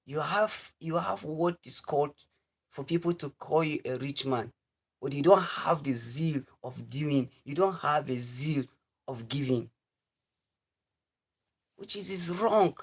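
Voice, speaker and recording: A man's voice: fairly high pitch, unhurried, long pauses, slightly rough. A man in his twenties with a Nigerian accent, hosting a livestream and giving an earnest, repetitive lecture to his viewers. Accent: Nigerian English. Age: 20s. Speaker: live stream host. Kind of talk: preachy livestream monologue.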